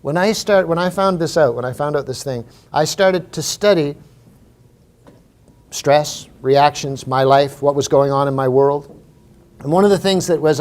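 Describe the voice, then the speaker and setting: A middle-aged Canadian man giving a comic motivational talk to a live audience, telling stories in an animated way and repeating phrases for emphasis. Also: on the high side. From Maritime Canada. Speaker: humorous keynote speaker.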